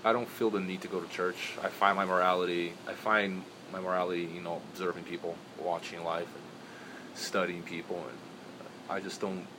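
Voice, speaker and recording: A man who grew up in Brazil, rambling in a relaxed interview with many restarts and fillers. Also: low voice, moderate pace, noisy background. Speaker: interview guest. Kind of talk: casual interview talk.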